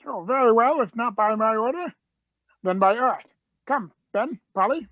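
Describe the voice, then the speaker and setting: A woman playing an eccentric, commanding, quick-witted Doctor in a scripted radio play, emphatic and theatrical. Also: on the high side, expressive, fairly bright tone, dry recording, studio quality. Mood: urgent, insistent.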